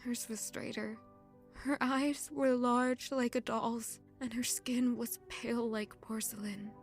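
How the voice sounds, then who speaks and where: An actress playing a grieving, self-blaming young servant woman in an emotive, halting audio drama. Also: a little high, fairly even intonation. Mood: wistful narration. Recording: music under the voice. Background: music.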